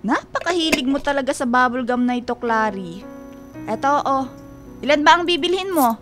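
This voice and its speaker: A woman's voice: high voice, expressive, moderate pace, over music. An actress playing a chatty, teasing middle-aged woman storekeeper in a Filipino radio drama, with motherly familiarity. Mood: fond, chatty.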